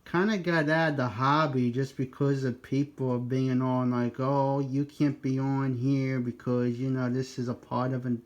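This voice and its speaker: A man in a casual two-way radio chat, speaking haltingly and often breaking off to restart his sentences. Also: medium pitch, level tone, highs cut off. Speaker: amateur radio operator. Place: two-way radio exchange over a repeater.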